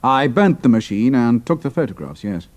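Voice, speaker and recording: An actor playing a shrewd, cool-headed British pilot in an audio drama, speaking in a clipped, dry, understated manner. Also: male voice, medium pitch, big pitch swings, moderate pace, a little mellow, dry recording. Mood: guarded, dutiful.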